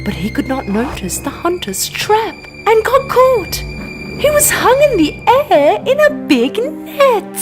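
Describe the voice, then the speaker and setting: Highly animated woman storyteller. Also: slow, music in the background. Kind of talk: animated scripted children's narration.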